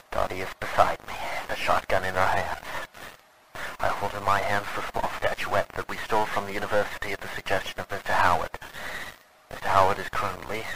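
An actor playing an earnest, weary man of the 1920s recording a grave, measured, formal log in an old-time radio drama. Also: lowish voice, noisy background, lightly acted.

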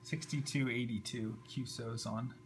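Casual man with a creaky voice. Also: mid-range voice, fairly even intonation, normal speed, soft background music, rough recording. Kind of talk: casual unscripted tutorial narration. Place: indoors at a computer desk. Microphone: handheld camera, a little off the screen. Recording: faint background hum and noise.

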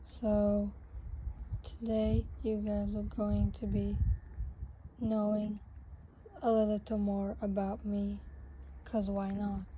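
Young female vlogger, unhurried and halting, recorded on a phone outside with steady noise behind. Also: medium pitch, lo-fi sound. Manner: hesitant, rambling, with many restarts. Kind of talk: casual walking vlog chat. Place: outdoors on a sunny paved path. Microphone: phone held while walking.

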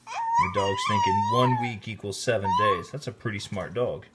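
Animated man reading a children's storybook. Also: very high-pitched, very noisy. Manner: expressive, deliberate storytelling delivery. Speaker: storybook reader, teacher. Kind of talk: read-aloud children's story narration with casual asides. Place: indoors, filming the book held in hand.

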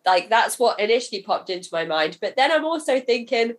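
A woman's voice: on the high side, very animated, fairly quick. A woman hosting a podcast interview in a casual, chatty and inquisitive way.

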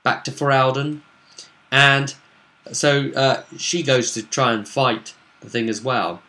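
A man's voice: medium pitch, fairly even intonation, takes it slowly, sharp tone. A man in his twenties casually retelling a game session like a story, acting out bits of dialogue, recorded in a room at home. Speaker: vlogger recapping a role-play game session. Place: small room at home, indoors.

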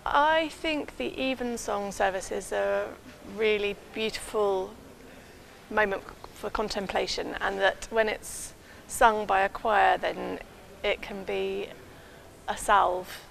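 A woman in her thirties answers an interview question slowly. Her voice is extremely creaky, with faint ambience from the large church around her. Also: mid-range voice, steady tone, a bit bright, slight background noise, rough recording. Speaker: visitor interviewed.

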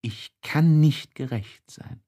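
A man voicing a passionate, tormented young man in an audiobook, speaking slowly in hushed anguish. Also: a little high. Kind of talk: literary audiobook monologue.

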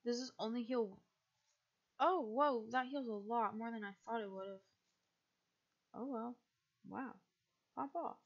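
A young woman from the United States, chatting casually and rambling as she streams a game, with a husky, quiet voice and frequent laughs. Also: on the high side, lo-fi sound.

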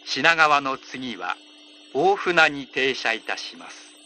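A male train announcer delivers scripted lines formally and politely. His voice is very bright and forward, at a medium pitch. It comes through onboard speakers, with a light hum and noise behind it. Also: very animated, takes it slowly, poor audio. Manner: formal, polite, precisely enunciated. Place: inside a train, over the PA speakers.

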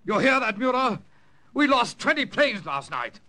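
An actor playing a hot-headed, suspicious wartime corporal in an old-time radio drama, excitable and insistent. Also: male voice, very high-pitched, normal speed, exaggerated voice. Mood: angry.

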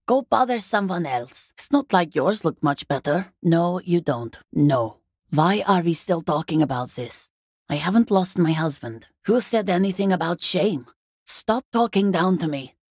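A middle-aged working class wife in a video game, weary and guarded. Her voice is husky and rather low, with pitch that swings widely as she gets defensive. The recording is dry and close. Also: animated, measured pace, darkish tone, no room sound, full character voice. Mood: defensive, irritated. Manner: defensive, exasperated, plain-spoken. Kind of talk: video game character dialogue.

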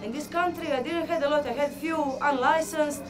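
A woman's voice: high-pitched, steady tone, brisk, over music. A woman, a boxer talking frankly in a gym interview, with slightly phrasing. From Croatia. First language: Croatian. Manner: frank, laughs easily, slightly non-native phrasing. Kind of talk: casual interview. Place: boxing gym, indoors.

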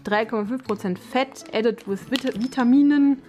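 A woman's voice: a little high, moderate pace, mellow. A young woman, talking casually and thinking aloud as she reviews products in an unboxing vlog. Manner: chatty, colloquial, thinking aloud. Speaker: dog owner and vlogger presenting an unboxing. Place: living room, indoors. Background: music in the background.

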